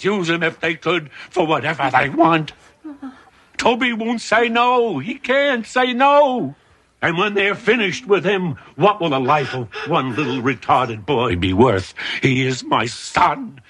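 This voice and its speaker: An actor playing a weary, bitter, protective father in a television drama, speaking gravely and with intensity. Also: on the high side, fairly bright tone, full character voice. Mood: bitter, rising anger. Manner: slow, grave, emotionally intense.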